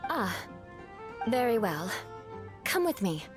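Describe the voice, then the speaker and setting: A woman's voice: mid-range voice, big pitch swings, slow pace. A haughty young noblewoman knight. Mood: instructive. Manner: haughty, formal, ornate aristocratic phrasing. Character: proud young noblewoman knight, stiffly formal. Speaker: game character. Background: game music.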